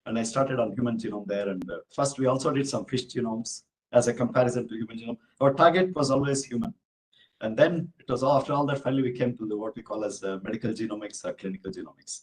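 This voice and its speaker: A man's voice: medium pitch, quick pace, darkish tone, low-quality recording. A man from India answering questions after a lecture, explaining each step with many "you know" and "uh" asides. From Bangalore, India. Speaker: invited speaker, genomics professor. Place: office hall with an audience.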